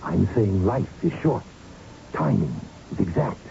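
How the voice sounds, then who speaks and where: An actor playing a middle-aged company boss in a radio drama, urbane, patronizing and scheming, with deliberate phrasing. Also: male voice, lowish voice, sing-song, gravelly, mellow, exaggerated voice. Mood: sly, taunting. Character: smooth, condescending, scheming male company boss. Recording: muffled, faintly noisy old radio recording.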